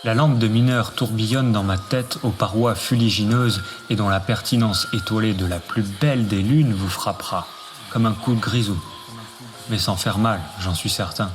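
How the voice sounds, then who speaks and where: A man's voice: on the low side, fairly even intonation, normal speed. A man delivering a scripted, poetic radio monologue with music behind him. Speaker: narrator.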